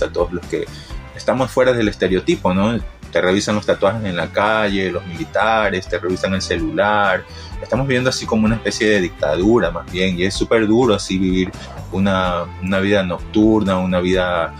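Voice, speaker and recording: A man from Ecuador with a coastal Ecuadorian Spanish accent, a DJ and producer giving an earnest, defiant recorded greeting. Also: low-pitched. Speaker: DJ and music producer.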